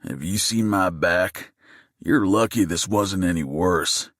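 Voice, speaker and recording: A man voicing a menacing, desperate gunman in an audiobook, gruff and angry. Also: slow, fairly bright tone, no room sound, studio quality, full character voice. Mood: exasperated. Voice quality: low, gruff. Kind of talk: audiobook character acting.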